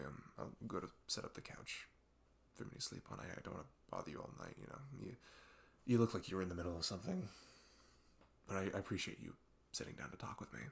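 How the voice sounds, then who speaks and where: A man playing an earnest male best friend in an audio roleplay, confiding in a casual, rambling, halting way with lots of filler words. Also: low-pitched, gravelly, heavily acted. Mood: grateful, vulnerable. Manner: rambling, halting, filler-heavy, laughs self-consciously. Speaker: ASMR audio roleplay performer. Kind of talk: casual improvised-sounding roleplay monologue addressed to the listener.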